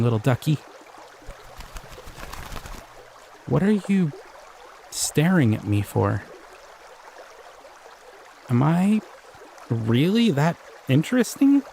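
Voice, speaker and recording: A man voicing a gentle, curious male slime creature in an audio roleplay; he is soft-spoken, easily delighted and chuckles often. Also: on the high side, creaky, slight background noise, full character voice. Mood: musing.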